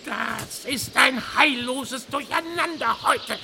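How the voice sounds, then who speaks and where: A man voicing a grumpy, put-upon talking raven with a croaky voice in a children's radio play. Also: extremely high pitch, slow pace, noisy background, full character voice. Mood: flustered. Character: grumpy talking raven, flustered fairy-tale book guide.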